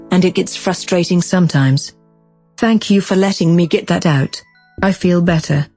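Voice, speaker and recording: Woman playing a low-voiced, haughty female AI. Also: mellow, close to an everyday voice. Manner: condescending, precise, unemotional. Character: haughty, bored immortal female artificial intelligence from the future. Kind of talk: scripted sci-fi comedy monologue and drama.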